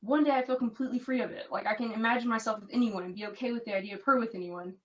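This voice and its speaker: A woman's voice: on the high side, fairly even intonation, rapid-fire, far from the mic, dull audio, close to an everyday voice. A woman playing an earnest, conflicted young American woman in an audio drama, confiding in natural dialogue. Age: young adult.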